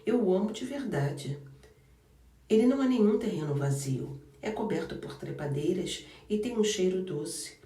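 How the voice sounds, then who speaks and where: A warm-hearted, sturdy young housemaid who chatters freely and stands her ground, played by a man reading aloud. Her voice is pitched up and sits mellow in tone. The room is roomy, and the mic is a little distant. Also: animated, normal speed. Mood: cheerful. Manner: chatty, good-humored and firm.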